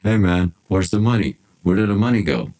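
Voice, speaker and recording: A man's voice: animated, fairly quick, a little mellow. A man voicing a menacing young thug, demanding and insistent, in a dramatized personal story. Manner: low, demanding. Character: menacing young male thug demanding his money back.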